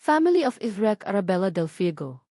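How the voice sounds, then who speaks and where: A woman with a Filipino accent voicing a calm, serious hospital doctor in an audiobook, measured and formal. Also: mid-range voice, dry recording, clean studio sound. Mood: calm, grave.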